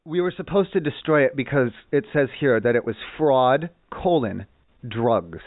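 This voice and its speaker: A man playing an evasive, absurdly earnest customer service agent in a prank call, halting, deadpan and pedantic. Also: mid-range voice, no echo, natural voice. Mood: deadpan, officious. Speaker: prank caller posing as a complaints phone agent. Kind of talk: improvised prank phone call. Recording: tinny, band-limited phone-show audio.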